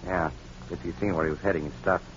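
An actor playing a tough, wisecracking newspaper photographer, brisk and slangy, in a 1940s radio crime drama. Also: male voice, sits low, fairly even intonation, lightly acted. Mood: hard-boiled. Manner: brisk, hard-boiled, slangy. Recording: muffled, noisy old radio recording.

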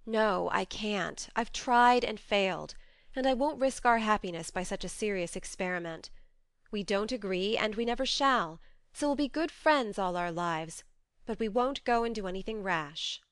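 Casting: female reader for frank, tender young heroine of a storybook, firm and earnest, crisp tone. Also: mid-range voice, expressive, normal speed, a bit bright, no echo. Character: frank, tender but resolute young woman refusing a suitor. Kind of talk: audiobook character voice.

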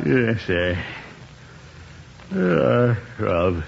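An actor playing a pompous, easily flustered middle-aged uncle in a 1950s radio sitcom, chuckling and muttering to himself with drawn-out exclamations. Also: male voice, medium pitch, vocal fry, full character voice. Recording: dull 1950s radio broadcast, faint noise.

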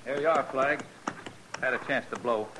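An actor playing a brash, mocking army officer's pal in a 1950s radio western. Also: male voice, mid-range voice, moderate pace, close to an everyday voice. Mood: jeering, breezy. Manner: mocking, breezy. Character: jeering, brash army sidekick of a lieutenant. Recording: old radio recording with faint noise.

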